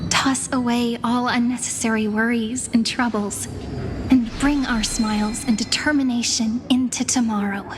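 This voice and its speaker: A woman voicing a gentle, devoted young female companion in a story-driven video game, speaking tenderly and reassuringly. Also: on the high side, fairly even intonation, moderate pace, noisy background, lightly acted. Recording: music playing behind the voice. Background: game music.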